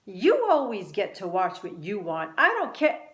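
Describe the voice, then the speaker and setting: Woman acting an excitable, emphatic young boy. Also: medium pitch, moderate pace. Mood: angry, defiant. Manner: emphatic, earnest, loud when riled. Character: excitable young boy, argumentative but eager to be kind. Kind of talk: acted children's storybook dialogue. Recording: roomy, echoing sound.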